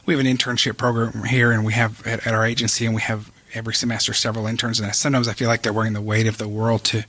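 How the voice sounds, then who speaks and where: Middle-aged american guy doing a podcast interview, talks quick, rambles a bit, dry close sound. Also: lowish voice, level tone, a bit bright, dry recording. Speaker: podcast host. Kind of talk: casual interview.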